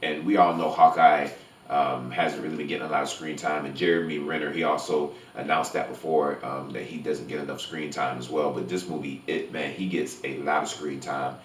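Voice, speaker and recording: A man with a low voice talks like a fan reviewing a film for his vlog. He is emphatic and gushing, repeating words to drive them home. The sound is roomy and echoing, picked up some way from the microphone. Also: fairly even intonation, moderate pace, slight background noise. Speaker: movie review vlogger. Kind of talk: casual spoiler-free film review to camera. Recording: roomy echo, distant from microphone.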